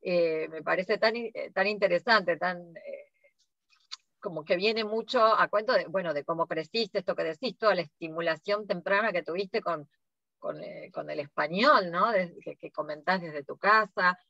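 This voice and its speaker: A woman's voice: lowish voice, normal speed, sharp tone, no room sound, dull audio. A woman, hosting a podcast and asking thoughtful, hesitant questions. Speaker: podcast host. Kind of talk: casual interview.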